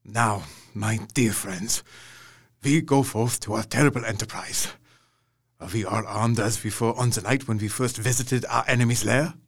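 A man's voice: fairly low pitch, moderate pace. An actor playing an elderly vampire hunter professor, solemn and fatherly, speaking in a grave, formal way. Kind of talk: audio drama acting.

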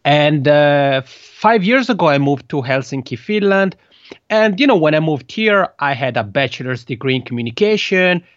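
A man's voice: on the high side, lively intonation, moderate pace, no echo, lo-fi sound. A man in his thirties from the Italian-speaking part of Switzerland, with an Italian accent, a podcast guest who talks casually and often restarts his phrases. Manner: hesitant, repeats words while searching for phrasing. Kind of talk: conversational interview.

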